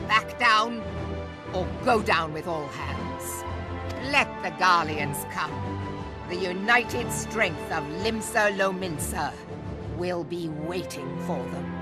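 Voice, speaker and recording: A woman's voice: fairly low pitch, takes it slowly. An actress playing a brash, defiant female pirate admiral in a fantasy game, with a blunt manner. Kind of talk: fantasy game cutscene acting. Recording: loud orchestral music behind voice.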